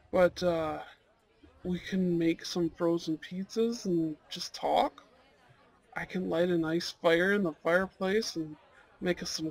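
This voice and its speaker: Male actor as young Goth blind date: higher register, slow delivery, sincere, hesitant, intimate ASMR roleplay. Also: gravelly, heavily acted, rough recording. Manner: soft-hearted, hesitant, sincere.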